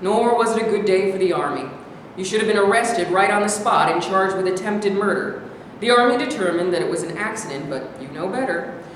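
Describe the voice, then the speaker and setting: A woman's voice: mid-range voice, a little fast, lightly acted. A woman playing a man's scornful, needling alter ego in a staged reading, blunt, mocking and accusatory. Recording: echoey hall, noisy.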